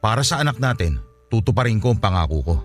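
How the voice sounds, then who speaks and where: A man's voice: lowish voice, animated, quick pace, lightly acted. An actor playing a frank, weary man breaking away from his partner, firm and defensive, with a Filipino accent, in a radio drama. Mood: resolved, solemn.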